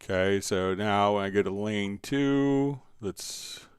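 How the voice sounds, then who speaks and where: A man hosting a casual live software tutorial, thinking aloud informally as he demonstrates. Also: lowish voice, takes it slowly, clear, resonant tone, dry recording, clean studio sound. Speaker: livestream host, software instructor.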